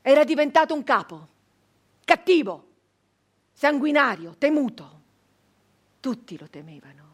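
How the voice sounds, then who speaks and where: Defiant woman brigand, embittered storyteller of a radio drama. High voice, slow theatrical delivery, weighty pauses. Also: sing-song. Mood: bitter, grave. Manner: dramatic, deliberate, with long pauses and swings from hushed to loud. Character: embittered, defiant woman brigand of nineteenth-century southern Italy. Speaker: actress in a dramatic monologue. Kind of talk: theatrical radio monologue, first-person storytelling.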